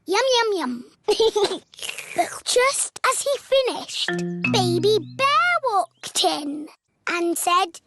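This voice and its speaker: A woman's voice: very high-pitched, very animated, very slow, heavily acted. A woman voicing a cheerful, bossy little girl pig in a children's cartoon, telling stories expressively with a British accent. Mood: storytelling. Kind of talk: children's cartoon dialogue and bedtime storytelling. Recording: music behind the voice. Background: children's music.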